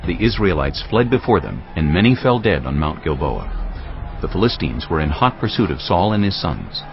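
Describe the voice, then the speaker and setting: A man narrating dramatized scripture in a solemn, measured storytelling manner. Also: low-pitched, big pitch swings. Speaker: Bible narrator. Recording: dull audio with music behind. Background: background music.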